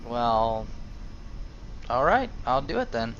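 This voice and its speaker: A man's voice: medium pitch, sing-song, takes it slowly, a bit bright, slight background noise, exaggerated voice. Guy doing eager young girl mage for a game roleplay, questioning, muffled recording. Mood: helpful. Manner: tentative, questioning. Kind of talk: amateur game role-play.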